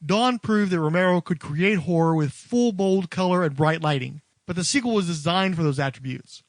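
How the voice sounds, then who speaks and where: A man with a notably high voice narrates a scripted film retrospective as a podcast host. His phrasing is wordy and literate, and the recording is close and dry. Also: moderate pace, dry recording.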